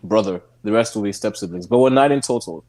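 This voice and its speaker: A man's voice: on the low side, fast. A young man from Nigeria, a guest on a video-call interview, talking casually with frequent laughs and restarts. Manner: relaxed, laughs often, speaks in loose restarts. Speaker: guest activist.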